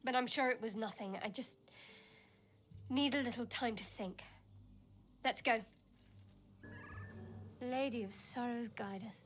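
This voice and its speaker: A woman voicing a guarded, devout young cleric of a dark goddess in a video game, speaking in a cool, measured manner. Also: a little high, a little hiss or hum, natural voice. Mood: uneasy, guarded. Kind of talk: video game dialogue acting.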